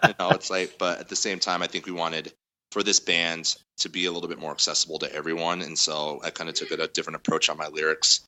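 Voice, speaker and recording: A man with a loud voice, a guest musician in a casual interview, telling a long story with lots of fillers. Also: sits low, level tone, quick pace, low-quality recording. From Denver area. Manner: rambling storytelling, heavy fillers.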